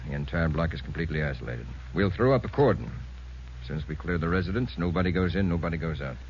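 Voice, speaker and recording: An actor playing a commanding police captain giving a measured, methodical briefing, in 1950s radio drama style, on a dull lo-fi old radio broadcast. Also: male voice, low-pitched, lively intonation, slight background noise.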